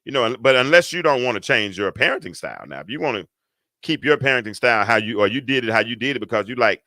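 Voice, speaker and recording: Deep-voiced, emphatic middle-aged man. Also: expressive, quick pace, pushed and bright, dry recording. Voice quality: deep, stentorious voice. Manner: emphatic, repeats words for effect, folksy asides. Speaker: talk show host. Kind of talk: casual storytelling to an audience.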